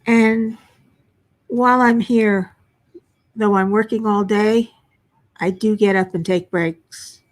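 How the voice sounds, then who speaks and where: An older woman hosting a casual livestream from home, chatting and answering viewers, her voice at times a little low and raspy from a frog in her throat. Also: fairly even intonation, takes it slowly, long pauses. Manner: chatty, self-deprecating, reads chat names aloud. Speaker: livestream host. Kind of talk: casual chat reading viewer comments. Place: home workspace.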